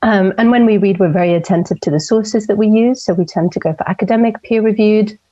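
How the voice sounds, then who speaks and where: A woman from Portugal in her forties, an opinion columnist answering interview questions in a measured, thoughtful way with frequent ums. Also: medium pitch, dark tone, dull audio. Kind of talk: interview over a call.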